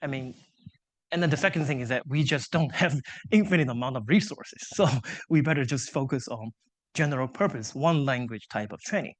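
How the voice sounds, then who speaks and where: A man's voice: medium pitch, fairly quick. A man whose first language is Chinese, giving an academic seminar lecture to a live audience, explaining ideas step by step with light self-deprecating humor and frequent checks like "right?". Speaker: invited seminar speaker, engineering professor.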